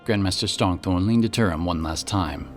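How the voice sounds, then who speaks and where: A man's voice: low voice, normal speed. A man reading scripted fantasy audiobook narration, with music playing behind him.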